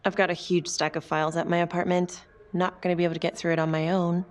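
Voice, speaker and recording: A woman's voice: lowish voice, level tone, fast, natural voice. A woman acting a composed, probing district attorney in a crime game, cool and direct in a naturalistic manner. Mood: friendly, confiding. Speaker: video game actor. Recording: faint music under the dialogue. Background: background music.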